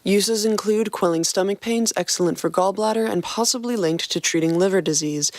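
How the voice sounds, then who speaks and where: A woman voicing a morbid, aloof gothic young woman in a flat, dry deadpan for a fan fiction podcast reading. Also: medium pitch, a little fast, no room sound, lightly acted.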